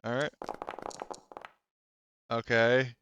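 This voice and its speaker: A man's voice: mid-range voice, little pitch movement, very slow, a bit bright, clean tone, dry recording, dull audio. A man speaking casually and informally as he referees a tabletop roleplaying game. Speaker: game master.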